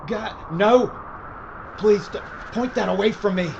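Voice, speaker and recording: A man's voice: sits high, creaky. A man playing a jittery gun merchant. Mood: nervous. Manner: nervous, pleading, slow. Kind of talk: Western audio drama acting. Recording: muffled lo-fi with hiss.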